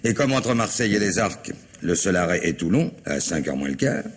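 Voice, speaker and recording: A gruff police chief in a vintage French radio mystery. His low, husky voice swings widely as he probes and reasons aloud, insisting on every detail. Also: big pitch swings, moderate pace, exaggerated voice. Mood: methodical, reasoning. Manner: probing and insistent, thinking aloud. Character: gruff, methodical male police chief leading an investigation. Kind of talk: old French radio crime drama acting.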